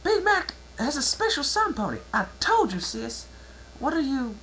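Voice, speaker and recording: A performer voicing an innocent, curious little cartoon filly who gets excitable, in a dramatic fan-fiction reading. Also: high-pitched, moderate pace, slight background noise, voice pushed up, exaggerated voice, low-quality recording. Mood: excited.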